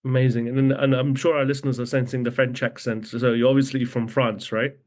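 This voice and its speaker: A man's voice: mid-range voice, level tone, a little fast, darkish tone. Friendly male podcast host. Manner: friendly, loose with fillers like "like" and "you know". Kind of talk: podcast interview hosting.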